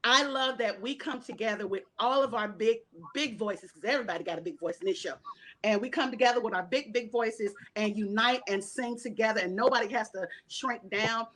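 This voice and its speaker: A woman's voice: on the high side, a little fast, fairly bright tone, a little hiss or hum. A woman cast member talking about her role in a casual video call interview, emphatic and laughing often.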